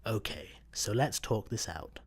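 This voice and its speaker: A man's voice: lowish voice, expressive, measured pace, breathy edge. An actor with a Scottish accent playing a cranky but easygoing stowaway space postman in a sci-fi comedy radio play. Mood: laid-back, evasive.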